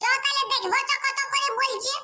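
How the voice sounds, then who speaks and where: A performer voicing a bossy, childlike cartoon villager friend with a squeaky voice and a Purulia Bengali accent. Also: normal speed, noisy background. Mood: knowing. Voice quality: very high, squeaky. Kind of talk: comedy cartoon dialogue.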